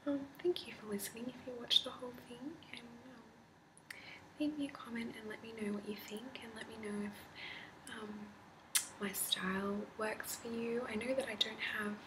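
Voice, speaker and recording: A woman in her twenties whispering a rambling ASMR chat, with a slightly deep whisper, recorded on a laptop in a candlelit room at home. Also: steady tone, moderate pace. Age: 20s. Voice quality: slightly deep whisper, not soft-spoken. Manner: rambling, chatty, laughs often. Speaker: ASMR creator. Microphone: laptop mic. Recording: grainy noisy laptop recording.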